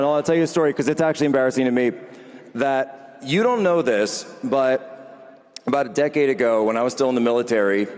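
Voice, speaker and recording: A man's voice: mid-range voice, a little mellow. A man in his thirties interviewing on a conference stage, earnest and rambling, often correcting himself. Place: large conference hall stage with live audience. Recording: long hall reverb.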